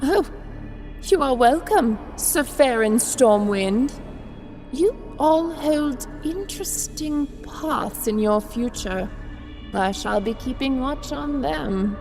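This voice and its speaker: A woman performs a serene fantasy oracle who speaks extremely slowly and formally. Her fairly high voice is portentous and rings with long reverb. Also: expressive, full character voice. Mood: serene, weary. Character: serene, cryptic, all-knowing female oracle. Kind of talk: fantasy audio drama acting. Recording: long reverb, music behind.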